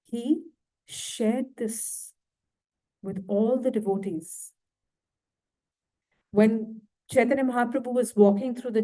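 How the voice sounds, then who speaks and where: A woman giving a devotional lecture to a temple audience, slow and often asking questions. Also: a little high, dark tone, rough recording. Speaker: guest lecturer. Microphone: handheld mic, failing at times.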